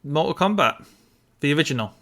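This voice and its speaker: A man's voice: a little high, expressive, fairly quick, a bit bright. A man co-hosting a podcast, talking casually and conversationally about games and films. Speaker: podcast co-host.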